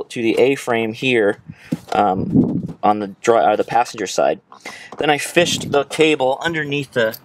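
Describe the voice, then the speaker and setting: A young American man giving a casual, informal how-to walkthrough, explaining as he goes. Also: medium pitch. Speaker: how-to presenter. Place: in and beside a parked car outdoors.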